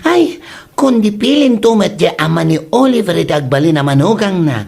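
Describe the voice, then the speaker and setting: A woman's voice: fairly low pitch, lively intonation, normal speed, gravelly, darkish tone, husky, full character voice. A woman playing a gleeful, mocking village gossip in a comedy radio drama, theatrical, with an Ilocano accent.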